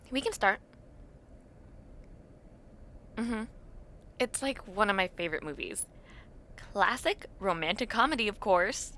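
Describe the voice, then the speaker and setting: A young woman playing a friendly, sentimental university student chatting casually and intimately with a close friend in an audio roleplay, gently teasing and soft-hearted. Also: mid-range voice. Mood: easygoing, playful.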